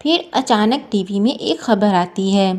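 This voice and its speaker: A woman's voice: medium pitch, animated, unhurried, darkish tone. A woman storyteller reading a scripted moral story narration.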